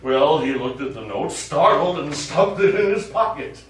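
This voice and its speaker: A man's voice: on the high side, animated, moderate pace, natural voice. Man acting smarmy lawyer on an echoey stage. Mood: tipsy joviality. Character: smarmy, lecherous, self-satisfied male lawyer. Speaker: stage actor. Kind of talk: live comic one-act stage play acting. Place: small theatre studio stage. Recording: echoey theatre stage, distant mic, faint noise.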